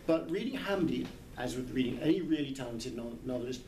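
A man's voice: medium pitch, lively intonation, brisk, a little mellow. Older man, thoughtful hesitant speech, book club discussion, distant mic, roomy echo. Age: 60s. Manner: thoughtful, hesitant, searching for words. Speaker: literary translator. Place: large indoor hall, small group seated in circle. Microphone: camera a few metres away. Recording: echoey, distant camera mic, background noise.

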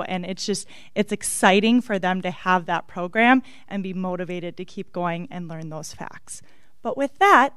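A woman presenting as a second grade teacher at a board meeting, eager and animated. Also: medium pitch, clear, resonant tone, no echo, clean studio sound. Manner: eager, animated, quick asides. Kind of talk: enthusiastic presentation.

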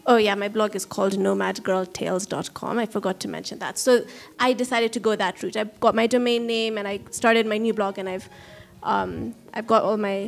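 Gravelly young woman asking a question. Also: mid-range voice. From Kenya. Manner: hesitant, many ums, rambling. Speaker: audience member asking a question. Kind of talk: casual spoken question at a panel. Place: large hall with audience. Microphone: handheld mic.

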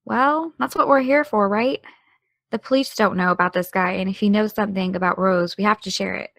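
A woman playing a determined, sardonic teenage podcaster in an audio drama, with a dry, brisk delivery. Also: medium pitch, vocal fry, fairly bright tone, dry recording. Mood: reassuring, confident. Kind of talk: naturalistic audio drama dialogue.

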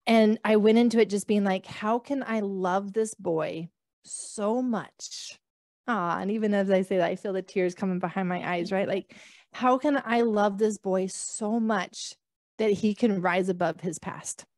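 A woman's voice: medium pitch, a little slow, no room sound. Woman, thirties, podcast guest, earnest storyteller, video call, dry sound. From northern United States, near the Canadian border. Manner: earnest, warm storytelling with many fillers. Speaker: guest, physician. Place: small room at home. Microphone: podcast mic on a video call.

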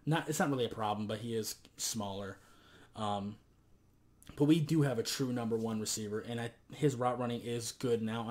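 Hoarse young man, low voice. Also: a little mellow. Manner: loose and rambling, many fillers. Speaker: sports vlogger. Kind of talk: casual football commentary. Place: small bedroom, indoors.

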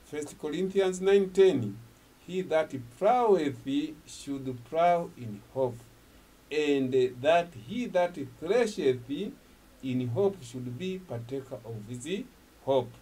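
A man's voice: on the high side, lively intonation, slow pace, dark tone, husky. An older man whose first language is Swahili, preaching and praying emphatically in English at a studio desk. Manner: emphatic, gestures while preaching. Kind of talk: sermon closing and prayer. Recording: distant from the mic.

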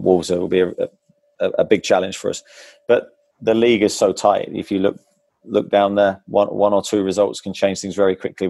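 A low, gravelly man's voice with a flat, even delivery and a mellow, dark tone. He answers press questions in a measured, hesitant way, full of ums and restarts. He speaks through an earphone mic on a video call, and the sound is dry and close. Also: dry recording. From England. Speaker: football manager. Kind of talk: post-match press conference answers. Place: club press room before a sponsor board. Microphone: wired earphone headset on a video call.

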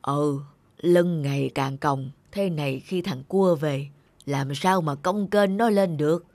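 An actor playing a gentle, doting elderly grandfather in an animated film, affectionate and unhurried. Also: mid-range voice, no echo. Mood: wistful, stubborn. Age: older. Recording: music under the dialogue.

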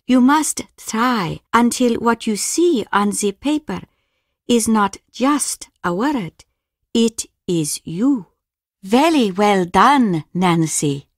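A woman playing a polite, solemn Japanese innkeeper who teaches traditional arts in a video game, speaking formally and with measured care. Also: fairly high pitch, no echo, clean studio sound. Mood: approving, solemn. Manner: formal, measured, gently instructive. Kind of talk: scripted video game dialogue.

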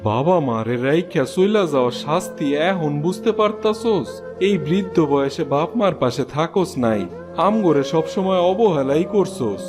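Guy doing kind old village fruit seller, rural east bengal accent, highish voice, cartoon, music under it. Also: lively intonation, moderate pace, lightly acted. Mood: hurt, reproachful. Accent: rural eastern Bengali. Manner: folksy dialect speech. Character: humble old village jackfruit seller, grateful, kindly. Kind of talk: cartoon voice acting.

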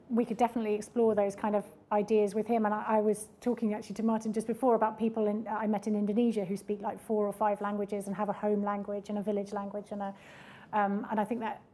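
Woman speaker at a small public talk, reflective and halting, even and mellow delivery. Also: mid-range voice. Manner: reflective, with many fillers and restarts. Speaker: project presenter at a panel talk. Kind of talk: public Q&A discussion. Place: mid-sized indoor event room with audience.

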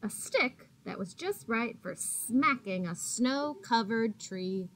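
Slow, higher-voiced woman reading to children. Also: low-quality recording. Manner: deliberate, expressive storytelling with drawn-out words. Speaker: teacher. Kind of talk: picture-book read-aloud for young children. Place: small indoor classroom. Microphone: laptop or webcam at arm's length.

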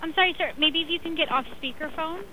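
A woman's voice: sits high, fast. A woman answering the phone at a restaurant, patient and polite while puzzled by the caller. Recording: quiet phone line with clear hiss.

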